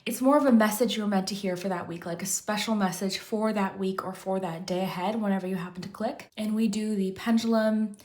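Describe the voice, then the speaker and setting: A woman hosting a pick-a-card tarot reading, chatty and rambling, and a little hoarse from losing her voice. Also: medium pitch, brisk, few pauses, darkish tone. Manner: chatty, rambling, frequent "kind of" and restarts. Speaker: tarot reader, channel host. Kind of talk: casual pick-a-card tarot chat to camera.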